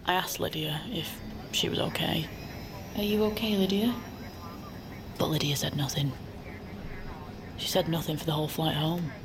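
A woman's voice: sits low, fairly even intonation, moderate pace, vocal fry, long pauses, background noise. An actress playing a sly, blithe companion who narrates a woman's life in a composed way in audio fiction. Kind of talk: scripted first-person narration in audio fiction. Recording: music under the voice.

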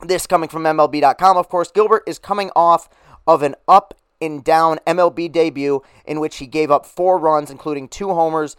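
Sports podcast guy, kinda hoarse, rambly and upbeat, smooth voice, dead dry sound. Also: fairly high pitch, fairly even intonation, normal speed, no echo. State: losing his voice. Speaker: podcast host. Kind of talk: casual sports podcast talk.

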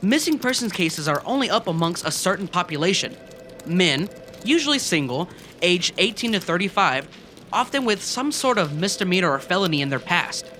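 A man's voice: high voice, sing-song, moderate pace, bright, forceful tone, a little hiss or hum, heavily acted. An actor playing a keen young office colleague turned amateur sleuth in an audio drama, a man who talks eagerly and dramatically. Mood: intrigued, conspiratorial. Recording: music under the voice.

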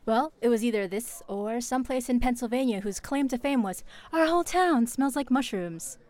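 A woman's voice: a little high, normal speed, darkish tone, natural voice. A woman voicing a young newcomer reporter, chipper and self-deprecating, in an audio drama. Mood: upbeat. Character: young woman reporter, new in town, upbeat. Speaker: journalist.

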